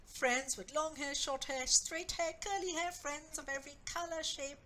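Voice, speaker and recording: A woman author reading her own story expressively, with deliberate, dramatic phrasing. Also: high voice, steady tone, pushed and bright, slight background noise.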